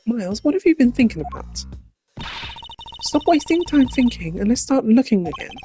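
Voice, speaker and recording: Man putting on a high-pitched, spirited young girl. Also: normal speed, music in the background. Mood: impatient, puzzled. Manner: pert, questioning, excitable. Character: spirited, impatient young girl sidekick in detective game.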